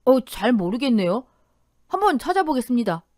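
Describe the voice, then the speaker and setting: A nervous, evasive real estate broker, read by a woman narrator, hesitating between words in a lively, fairly high voice. The recording is dry and close. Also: normal speed, no echo. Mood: hesitant.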